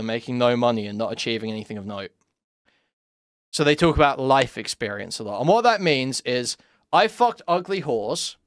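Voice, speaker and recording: A man's voice: medium pitch, a bit bright, dry recording, clean studio sound. A man in his thirties, a streamer, talking in a sarcastic, mocking way while reacting to a clip. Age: 30s. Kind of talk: casual livestream commentary.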